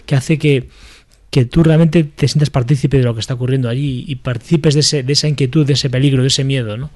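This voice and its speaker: A man with a Spain accent talks in a medium-pitched voice. He is a film panelist offering casual analysis, feeling his way through each thought with halting, thoughtful phrasing. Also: steady tone, a little mellow.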